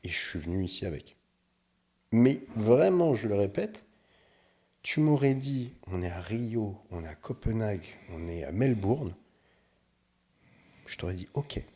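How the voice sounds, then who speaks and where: A man talking as a podcast guest, animated and explaining his views with examples. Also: on the low side, unhurried, long pauses. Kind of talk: casual podcast chat.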